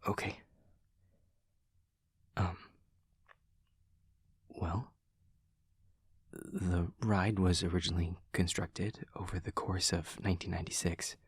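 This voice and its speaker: A man playing an awkward, earnest young demon classmate, speaking softly and breathily with hesitant stammers and stiffly formal wording in an intimate audio roleplay. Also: low voice, little pitch movement, takes it slowly, long pauses, dry recording, studio quality, full character voice. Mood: nervous, obliging. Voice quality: soft, breathy, husky. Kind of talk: intimate audio roleplay, whispery ASMR-like acting.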